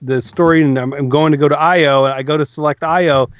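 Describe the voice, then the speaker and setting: A man's voice: on the high side, fairly even intonation, fast talker, dry recording, muffled recording. Rambling man co-host in a dry closet. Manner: rambling, laughing storyteller. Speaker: podcast co-host. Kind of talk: casual podcast chat.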